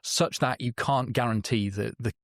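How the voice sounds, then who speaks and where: A man's voice: mid-range voice, normal speed, no room sound, clean studio sound. A man talking as an expert guest on a podcast, explaining his reasoning in interview style.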